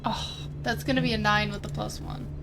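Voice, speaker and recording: A woman playing a tabletop game casually, thinking aloud with hesitant pauses. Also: mid-range voice, fairly even intonation. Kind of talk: casual livestreamed game play. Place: indoors at home. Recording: clear background noise.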